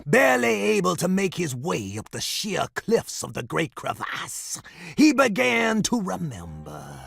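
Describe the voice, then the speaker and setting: Creaky, theatrical cult storyteller. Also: male voice, high voice, wildly swooping pitch, measured pace, full character voice. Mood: solemn. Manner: theatrical, grandiose, dramatic swoops. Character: theatrical, sorrowful male cult brother telling a legend. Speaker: storyteller narrator. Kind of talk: dramatic scripted game narration. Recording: narration over loud music.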